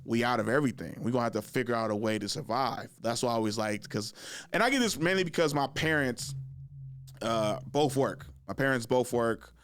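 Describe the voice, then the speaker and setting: Animated, joking man in his thirties. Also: brisk, a little hiss or hum. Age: 30s. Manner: animated storytelling, laughs and shrieks high when excited; joking, profane, slangy. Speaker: podcast host. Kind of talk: casual chat.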